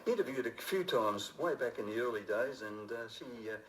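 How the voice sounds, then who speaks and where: An older man with an Australian accent hosts a community radio show. His delivery is easygoing and gentle, rambling along in halting phrases as he chats live on air. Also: mid-range voice, lively intonation, echoey room, slight background noise, poor audio.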